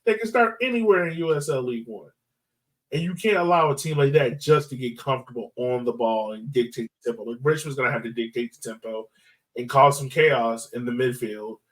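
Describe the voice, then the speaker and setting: A man hosts a casual sports chat in informal, slangy language. His voice has a medium pitch and sits back from the microphone, sounding a little distant. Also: fairly quick. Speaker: podcast host.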